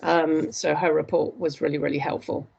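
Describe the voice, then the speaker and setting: A woman presenting her postgraduate dissertation research in an earnest, steady academic talk, with frequent hesitations. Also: low voice, normal speed, vocal fry, slightly rough, lo-fi sound.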